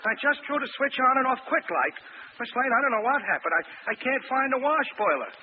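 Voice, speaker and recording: A man playing an excitable, sheepish comic sidekick with rapid, unpolished working-class speech in 1940s radio style. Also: extremely high pitch, a little hiss or hum. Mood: sheepish, flustered. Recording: thin, tinny old radio recording.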